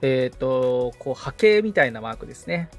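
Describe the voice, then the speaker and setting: A man's voice: mid-range voice, very animated, moderate pace, soft background music. A man assisting on a tutorial podcast, agreeably reading out steps as he follows along politely. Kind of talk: casual, polite walk-through of on-screen steps.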